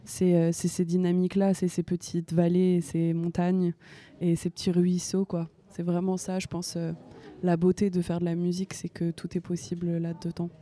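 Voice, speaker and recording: A woman's voice: lowish voice, completely flat delivery, moderate pace. A young woman from France, a singer-songwriter, speaks casually and a little hesitantly in an interview, with frequent fillers and restarts. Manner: soft-spoken and hesitant, with many fillers and restarts.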